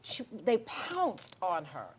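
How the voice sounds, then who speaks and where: A woman's voice: lowish voice, wildly swooping pitch, takes it slowly, husky. A woman hosting a podcast panel discussion, conversationally guiding her guests with questions. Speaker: podcast host. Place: podcast studio.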